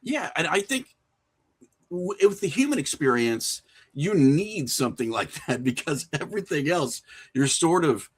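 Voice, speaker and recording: A man's voice: a little high, sing-song, measured pace, slightly rough. Reflective middle-aged man chatting informally. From small rural town. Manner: informal, anecdotal, chuckles through remarks. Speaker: podcast guest, horror filmmaker and writer. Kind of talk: casual, reflective conversational interview.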